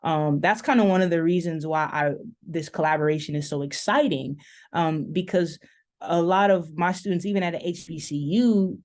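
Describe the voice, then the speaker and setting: A woman professor speaking on an online panel, thoughtful and measured, with frequent "um" pauses as she works through her ideas. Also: lowish voice, darkish tone. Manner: thoughtful, many "um" pauses, stretches key words. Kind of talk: panel discussion remarks over video call.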